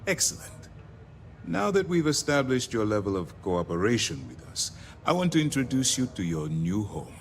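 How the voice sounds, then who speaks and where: An actor playing a composed, authoritative military commander in a video game, speaking formally and deliberately. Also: male voice, medium pitch, very animated, slight background noise. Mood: formal, purposeful. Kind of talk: video game character dialogue.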